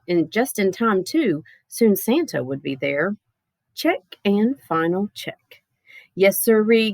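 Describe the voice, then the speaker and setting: A woman reading a children's picture book aloud in a playful, expressive way with theatrical emphasis. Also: medium pitch, no echo. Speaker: storybook reader.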